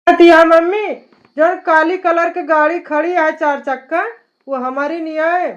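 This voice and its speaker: High-pitched eager Awadhi cartoon son-in-law. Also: fairly even intonation, measured pace. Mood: proud, inviting. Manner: pleading, boastful, respectful. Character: eager, bragging young son-in-law, cartoon village man. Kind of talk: cartoon comedy dialogue. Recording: dull lo-fi cartoon soundtrack.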